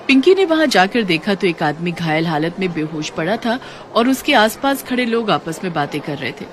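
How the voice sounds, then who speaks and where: A woman's voice: fairly low pitch, sing-song, moderate pace, a little hiss or hum. A woman reading scripted narration for a moral story.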